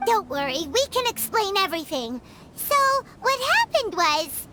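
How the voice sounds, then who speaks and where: A woman voicing a tiny, excitable floating companion who talks in a bouncy, blunt, squeaky way. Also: measured pace, loud music behind the voice, full character voice. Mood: reassuring. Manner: bouncy, sharp-tongued, squeaky.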